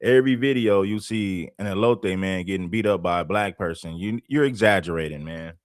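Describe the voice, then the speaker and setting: A man's voice: low-pitched, a little fast, no echo, clean studio sound. A man hosting a livestream, commenting bluntly and arguing his point to viewers. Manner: blunt, argumentative. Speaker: host.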